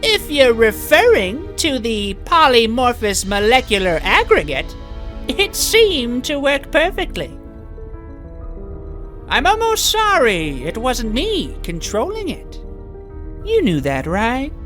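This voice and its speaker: A woman's voice: fairly high pitch, huge pitch swings, slow, fairly bright tone, faint music behind, exaggerated voice. A woman playing a smug, idealistic imprisoned mastermind in a comic dub, speaking pompously and theatrically with menace. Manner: pompous, philosophising.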